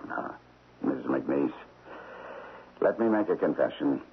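An actor playing an evasive, nervous investment counselor in a radio drama, hesitant, hedging and placating. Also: male voice, rumbling low, lively intonation, unhurried, slightly rough, full character voice. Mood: flustered, sheepish. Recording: muffled lo-fi old radio broadcast.

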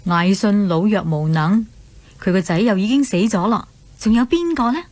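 A woman with a Hong Kong Cantonese accent narrating a scripted radio drama as an expressive storyteller. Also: mid-range voice, moderate pace, no echo.

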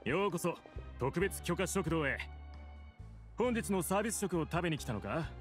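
Man playing middle-aged game cook, hospitable, familiar, highish voice, lively intonation, clear music behind. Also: normal speed, natural voice. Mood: welcoming. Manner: lively, familiar service talk. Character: genial middle-aged male cafeteria cook, hospitable, chummy. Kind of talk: Japanese anime game voice acting. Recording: studio voice under game music.

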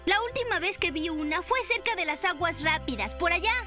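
Earnest, high-voiced boy dinosaur. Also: expressive, fairly quick, music in the background. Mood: thoughtful, helpful. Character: earnest, optimistic young boy long-neck dinosaur. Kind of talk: Spanish cartoon dub acting.

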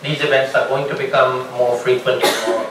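A middle-aged man from Bangladesh speaking as a panelist, explaining his points in a measured way and building them with examples. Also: medium pitch, level tone, a bit bright, a little hiss or hum. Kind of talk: panel discussion answer. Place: large lecture hall with audience. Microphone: room mic from a distance. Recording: echoey hall from far off.

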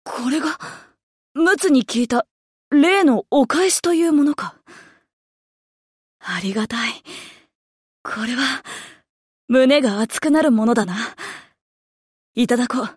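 A woman voicing formal, sincere, gently proud anime warship heroines in game voice lines. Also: sits high, slow, pauses often, slightly rough, dry recording, clean studio sound, full character voice. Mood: solemn, grateful.

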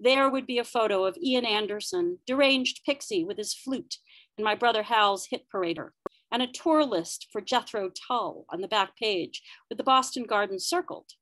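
A woman's voice: mid-range voice, moderate pace, a bit bright, no room sound, poor audio. A woman reading her narrative poetry aloud at an online open mic.

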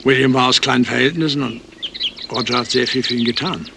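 A man plays a detective's curious friend in a vintage radio thriller. His gravelly voice reasons deliberately and insinuatingly. Birdsong and clear noise sit behind him. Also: medium pitch, fairly even intonation, close to an everyday voice. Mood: reasoning. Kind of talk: 1970s German radio crime drama acting.

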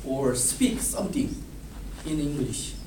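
A man in his thirties with a Korean accent, a native Korean speaker reading a prepared class speech, halting and restarting words. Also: on the high side, expressive. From South Korea. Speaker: student giving a speech. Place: classroom in front of a whiteboard. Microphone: far camera. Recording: roomy, distant, noisy classroom audio.

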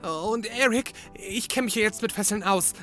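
A jittery, fussy sidekick in a comedy audio drama. His voice is sharp and bright, and he chatters indignantly, tripping over words, with faint music behind. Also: high voice, normal speed. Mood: anxious, fussy. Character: nervous, chattering, fussy male detective's assistant.

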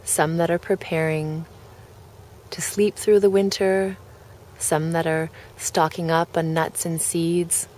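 A woman leading a guided relaxation, speaking gently in measured phrases with pauses between them. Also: lowish voice, little pitch movement. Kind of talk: guided relaxation, meditation narration.

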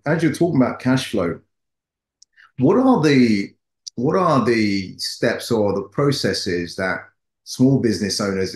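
A middle-aged man hosting an interview, putting questions deliberately and repeating phrases for emphasis. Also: lowish voice. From United Kingdom, resident. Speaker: show host, interviewer. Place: small home office, indoors. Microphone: video call. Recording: roomy, echoing video-call audio.

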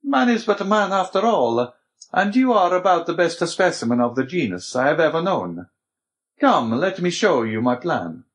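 Elderly learned abbé, storybook prisoner played by a man: raised pitch, principled, gentle, exclaims with formal earnestness. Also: big pitch swings, moderate pace. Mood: kindly, wise.

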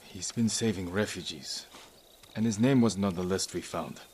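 An actor playing a resolute samurai lord in a video game, speaking in a grave, measured, firm way. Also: male voice, deep voice, slight background noise, close to an everyday voice. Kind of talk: restrained dramatic game dialogue. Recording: dialogue over loud game ambience.

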